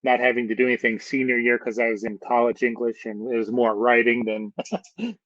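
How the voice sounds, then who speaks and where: A man's voice: mid-range voice, a little fast, fairly bright tone. Guy hosting a casual chat, dull lo-fi sound, no room echo, kinda hesitant. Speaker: interview host.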